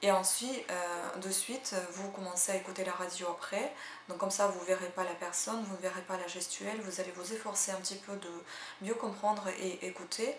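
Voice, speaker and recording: Woman, casual halting talk with many fillers, echoey room, faint background hum. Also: medium pitch, steady tone. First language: Russian. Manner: chatty, hesitant, full of "euh" fillers. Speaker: language-learning channel host. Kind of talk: casual spoken language tips and read-aloud practice.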